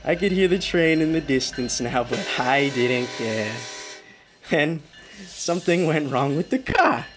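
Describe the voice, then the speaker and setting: A man's voice: a little high, very animated, unhurried, music in the background. An actor playing a haunted, desperate young male driver in a radio drama, with an intense delivery that stammers under agitation. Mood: rising dread. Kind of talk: radio drama acting with first-person narration. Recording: clearly noisy radio play recording.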